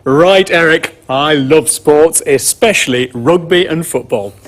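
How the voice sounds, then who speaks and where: A man's voice: a little high, a little slow, lightly acted. A man playing a keen middle-aged English sports expert in scripted educational dialogue.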